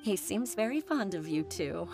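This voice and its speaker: An actress voicing an earnest, weary young female official in a game, speaking softly. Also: mid-range voice, big pitch swings, normal speed, music in the background. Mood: subdued. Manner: soft, subdued.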